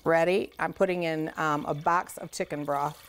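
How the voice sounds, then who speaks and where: A woman's voice: low voice, steady tone, normal speed, a little hiss or hum. A middle-aged woman hosting a casual cooking demonstration, chatty, folksy and enthusiastic as she talks straight to viewers. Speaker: cooking show host. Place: home kitchen, indoors.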